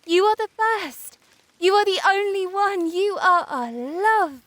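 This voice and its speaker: A woman's voice: high-pitched, animated, takes it slowly, no room sound, voice pushed up. A woman voicing adoring, joyful storybook sunbeams, with effusive, lilting exclamations. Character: adoring, bright-spirited sunbeams praising and promising summer.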